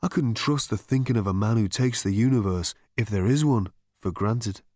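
A man voicing a gentle, vague philosophical hermit in a storybook, speaking politely in a mild, detached, musing way. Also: fairly low pitch, normal speed, dry recording, clean studio sound, close to an everyday voice. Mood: polite, doubtful.

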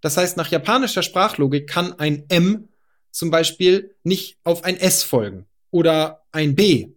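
A man in his thirties from northern Germany, calmly and methodically explaining language families in a personal monologue. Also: fairly high pitch, a little slow. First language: Low German. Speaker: podcast host.

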